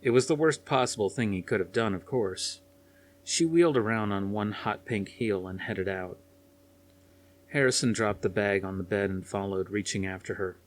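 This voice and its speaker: A man narrates dramatic fiction as an audiobook reader. His voice is on the low side, and the delivery is scripted storytelling.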